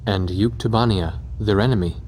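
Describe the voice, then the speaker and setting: Low-voiced actor as a sober male war narrator. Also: a little hiss or hum, lightly acted. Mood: sober, reflective. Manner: measured, sober storytelling. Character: reflective man recounting a war. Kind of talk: scripted retrospective narration for a war game cutscene. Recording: loud music under the voice.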